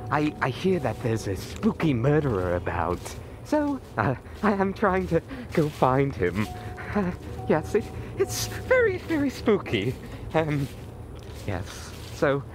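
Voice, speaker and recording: Man, nervous stammering vlogger, voice cracks, very swoopy pitch, slow delivery, outdoor night recording. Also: background noise. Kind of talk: mock-scared comedic night vlog. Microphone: handheld camera.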